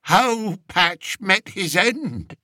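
A man's voice: sits high, big pitch swings, drawn-out words, pushed and bright, no room sound, clean studio sound, voice pushed up, full character voice. A man voicing a frightened, tearful old male shepherd who speaks in a rustic dialect and wheezes. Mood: pleading, tearful.

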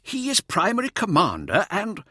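A man's voice: high-pitched, huge pitch swings, normal speed, sharp tone, husky, no echo, clean studio sound, pitched above the performer's own voice, exaggerated voice. A man voicing an earnest, easily alarmed male civilian in an audiobook, urgent and protesting. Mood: insistent. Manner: urgent, pleading. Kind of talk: dramatised audiobook character voice.

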